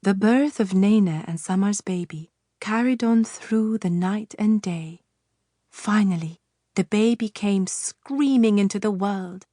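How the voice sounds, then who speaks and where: A woman narrating a children's fairytale audiobook with measured, expressive storytelling. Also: mid-range voice, no echo, clean studio sound.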